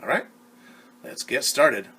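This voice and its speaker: A man reading a picture book aloud to young children as their teacher, patiently asking them questions and pausing for answers. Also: medium pitch, expressive, vocal fry, sharp tone, slight background noise. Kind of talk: children's storytime read-aloud.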